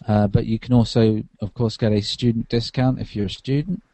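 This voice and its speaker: A man's voice: fairly low pitch, steady tone, brisk, mellow, no room sound. A tired man, a podcast co-host, chatting casually about tech while lying on a sofa.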